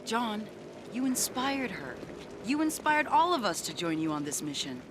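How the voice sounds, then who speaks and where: A woman's voice: fairly high pitch, sing-song, normal speed, background noise. An actress playing an emotional, loyal female resistance fighter in a video game. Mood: pleading.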